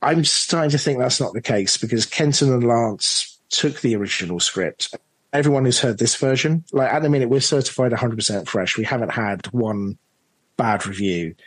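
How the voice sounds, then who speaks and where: A man's voice: mid-range voice, normal speed, breathy edge, no room sound, low-quality recording. Enthusiastic man in a dry, close recording. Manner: enthusiastic, emphatic. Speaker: audio drama director being interviewed. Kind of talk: animated interview chat.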